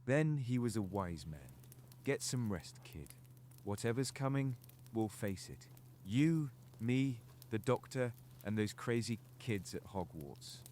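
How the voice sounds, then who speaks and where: A man's voice: fairly low pitch, expressive, slow, a little mellow, a little hiss or hum. A man playing a wry, world-weary middle-aged godfather in a fan audio drama, fatherly with youngsters. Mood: reassuring.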